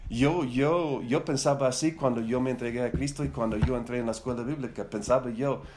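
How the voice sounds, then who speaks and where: A man's voice: mid-range voice, fairly even intonation, a little fast, a little mellow, slight background noise. Chatty middle-aged man teaching a Bible study. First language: not Spanish. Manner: chatty, rambling, with frequent tag questions and repeated words. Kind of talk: casual, conversational teaching.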